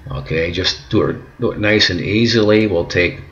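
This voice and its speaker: Low-voiced man calmly demonstrating a workshop task. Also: normal speed, muffled recording. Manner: calm, patient, repeats words, says "okay" often. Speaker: instructor demonstrating decal application. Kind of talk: step-by-step how-to narration. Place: home kitchen and workbench indoors.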